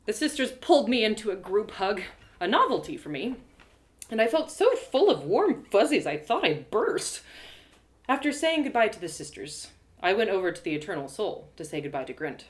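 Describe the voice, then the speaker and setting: A woman narrating a scripted fantasy tale in the first person, with expressive, dramatic storytelling. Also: medium pitch, moderate pace.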